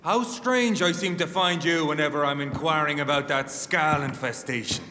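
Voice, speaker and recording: Menacing hunter leader for a game played by a man: sardonic, lighter-pitched, taunting, reverberant hall sound. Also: moderate pace, lightly acted. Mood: quietly threatening. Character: mocking, menacing male vampire hunter leader. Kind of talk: video game dramatic acting. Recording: echoey large hall, noisy background.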